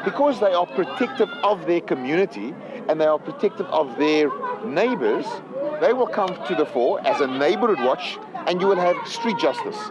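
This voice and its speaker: A man's voice: fairly high pitch, normal speed, low-quality recording. A man, a local guide explaining things on a walking tour outdoors. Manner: lively and explanatory. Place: outdoors in a township. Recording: outdoors with crowd noise. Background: crowd and music.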